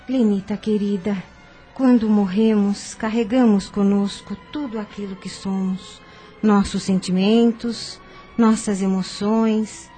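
An actress playing a kindly, assured older secretary with a husky voice in a radio soap opera. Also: female voice, mid-range voice, warm tone, close to an everyday voice.

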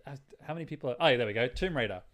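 A man with a medium-pitched voice chats casually as a podcast co-host. He talks about films in a loose, rambling way, working out his thoughts as he speaks. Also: lively intonation, racing, a bit bright. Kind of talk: casual film discussion.

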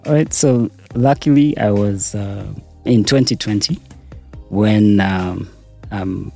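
A man's voice: on the low side, slow, vocal fry, dark tone, faint music behind. A Ugandan man in his forties answering interview questions about his work, with a hesitant manner full of fillers. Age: 40s. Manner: hesitant, many fillers and restarts. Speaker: interview guest, entrepreneur. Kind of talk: casual interview conversation.

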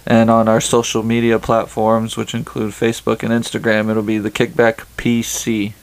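A man's voice: fairly low pitch, monotone, moderate pace, vocal fry. A young man co-hosting a casual podcast, joking around and laughing often. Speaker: podcast co-host. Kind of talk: casual chat.

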